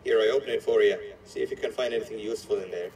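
A man voicing a scheming cartoon monster mascot in a video game, falsely friendly and quietly menacing, in an ingratiating conversational manner with hesitant pauses. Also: lowish voice, distant mic, close to an everyday voice. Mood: friendly, helpful. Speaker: game character guiding the player. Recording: echoey playback filmed off a screen, noisy.

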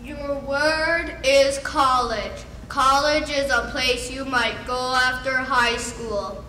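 A woman playing a formal, deliberate spelling bee announcer in an amateur puppet show. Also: sits high, steady tone, bright, forceful tone, heavily acted. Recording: echoey room, faint noise.

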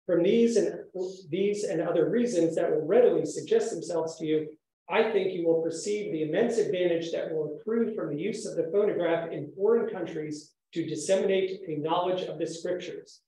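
Echoey male lecturer with a mellow voice. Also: fairly high pitch, moderate pace. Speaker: academic lecturer. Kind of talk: academic conference talk with read-out quotations. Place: echoey indoor room, speaker standing while presenting. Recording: very echoey room sound.

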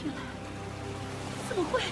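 A woman's voice: normal speed, close to an everyday voice. An actress playing a frightened young woman who tells of ghostly horrors in a Chinese audio drama. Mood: grim, alarmed. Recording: music under the voice. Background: dramatic music.

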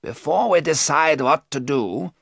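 A man voicing a grave, learned older professor and vampire hunter, speaking emphatically and deliberately in an audiobook story. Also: a little high, animated, vocal fry, fairly bright tone, no room sound, clean studio sound, pitched above the performer's own voice, exaggerated voice.

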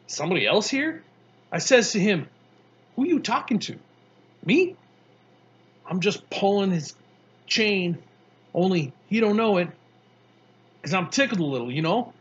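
A man's voice: sits high, slightly rough, dull audio, voice pushed up, heavily acted. A man voicing a brash, streetwise young boy who talks in slangy, boastful bursts. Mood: excited. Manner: slangy, boastful, excitable storytelling.

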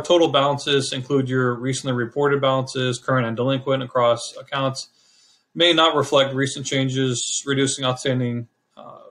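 A middle-aged man hosting a casual screen-share walkthrough, talking in a relaxed, rambling way and correcting himself as he goes. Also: mid-range voice. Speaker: channel host explaining credit scores.

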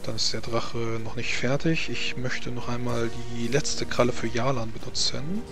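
A man's voice: medium pitch, fairly even intonation, normal speed, over music. A man speaking as game master of a tabletop role-playing session.

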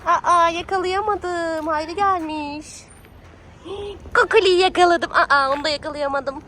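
A woman's voice: very high voice, steady tone, unhurried, sharp tone. A woman talking playfully to her small child in a coaxing, sing-song way, like a mother out at a playground. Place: outdoor playground. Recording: noisy outdoor recording with background ambience.

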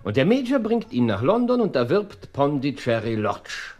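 Radio drama detective: keen, probing, sure of himself, lightly high-pitched, very animated inflection. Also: male voice, moderate pace. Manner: incisive, deductive, interjecting. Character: keen, self-assured, probing gentleman detective. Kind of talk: German radio drama acting.

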